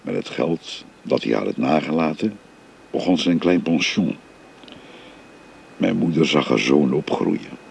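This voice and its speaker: An actor playing an older father who gently confides his family history to a young woman, in a radio drama. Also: very deep voice, lively intonation, measured pace, gravelly, long pauses, slight background noise, exaggerated voice. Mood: gentle, confiding. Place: recorded on location.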